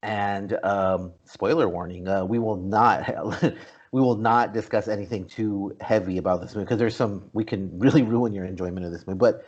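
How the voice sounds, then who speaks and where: A man with a fairly low voice hosts a casual movie discussion podcast. He talks in a conversational way. The recording is a bit dull and lo-fi. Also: fairly even intonation, normal speed, husky. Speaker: podcast host. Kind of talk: casual film review discussion.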